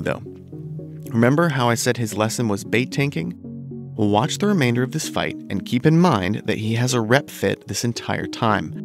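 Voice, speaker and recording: A man recovering from a cold, narrating a scripted game commentary in a measured, analytical way. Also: lowish voice, gravelly. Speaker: gaming video host.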